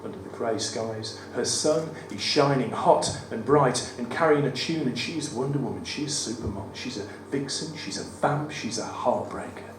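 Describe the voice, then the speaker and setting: A man with a West Midlands accent and a croaky voice, reading his poems at a live event with wry, self-deprecating banter. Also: medium pitch, normal speed, few pauses, recorded from a distance. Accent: West Midlands English. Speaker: performance poet. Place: studio theatre at a live cabaret event. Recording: roomy live venue, mic from afar, audible room noise. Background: audience ambience.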